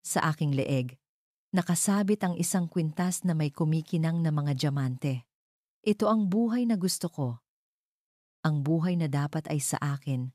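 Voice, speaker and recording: A woman's voice: deep voice, moderate pace, warm tone, no room sound, studio quality. A woman reading a scripted, dramatic first-person romance story as its narrator.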